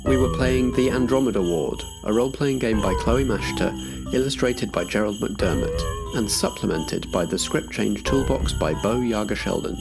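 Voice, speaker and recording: A man's voice: lowish voice, animated, moderate pace, vocal fry, loud music behind the voice, rough recording. A man reading scripted credits as the announcer of an audio drama podcast. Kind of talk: scripted credits narration over music.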